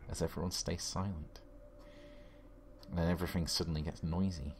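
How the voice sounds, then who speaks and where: Low-voiced male game master. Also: a little hiss or hum. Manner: descriptive storytelling. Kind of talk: narrating a tabletop horror game. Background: background music.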